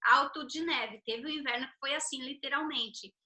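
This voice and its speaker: High, bright-voiced Brazilian woman host. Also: normal speed. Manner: lively storyteller, rising loud when recounting anecdotes. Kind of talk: casual interview chat.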